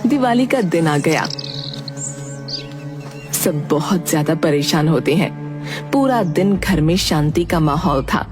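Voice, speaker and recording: A woman narrates an animated story from a script. Her voice sits fairly low, and music plays clearly behind her. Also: slow pace, pauses often.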